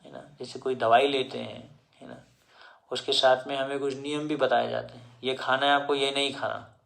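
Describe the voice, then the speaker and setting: A man giving a devotional discourse in Hindi, slowly explaining scripture verses and mixing in English words. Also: medium pitch, creaky, a bit bright. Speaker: devotional speaker.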